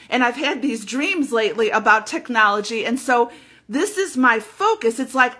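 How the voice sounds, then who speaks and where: Woman spiritual teacher, loud, emphatic monologue, bright forceful tone. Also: a little high. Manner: emphatic, fervent, repeats words.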